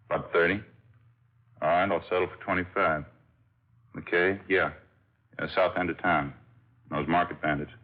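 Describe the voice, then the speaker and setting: A man playing a brisk police captain. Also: low-pitched, natural voice. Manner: brisk, decisive. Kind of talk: 1950s radio drama acting. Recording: dull old radio broadcast.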